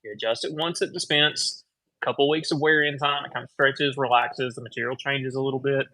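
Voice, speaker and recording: A man with a thick Tennessee accent, an optician chatting casually about eyewear, laid-back and quick to laugh. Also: mid-range voice, fairly even intonation, pushed and bright, no echo, poor audio. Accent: Southern US, Tennessee. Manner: laid-back, laughs often, frank opinions. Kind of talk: casual chat.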